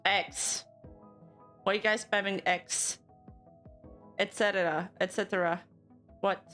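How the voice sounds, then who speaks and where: A woman's voice: medium pitch, fairly even intonation, slow, a bit bright, faint music behind. A young woman from Canada, a VTuber streamer, casually chatting and reacting to news and her live chat, laughing often and teasing back.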